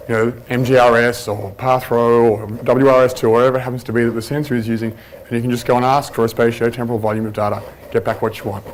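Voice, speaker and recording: A man giving an informal conference presentation on a handheld mic, chatty and relaxed, with plenty of ums and restarts. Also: medium pitch, a little hiss or hum, low-quality recording. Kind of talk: informal conference talk with anecdotes. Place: conference room at a workshop. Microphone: handheld microphone.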